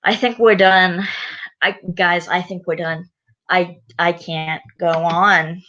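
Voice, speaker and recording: A woman's voice: on the low side, fairly even intonation, takes it slowly, a bit bright. A very tired woman hosting a reading channel, making rambling, exasperated asides in casual commentary. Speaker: channel host reading stories. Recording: dull lo-fi recording.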